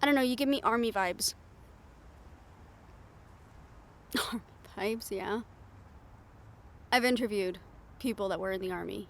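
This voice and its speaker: A woman's voice: medium pitch, fairly bright tone, natural voice. A woman playing a brash, cynical, foul-mouthed reporter in an improvised audio roleplay, with a blunt, sardonic manner. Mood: frank, wry.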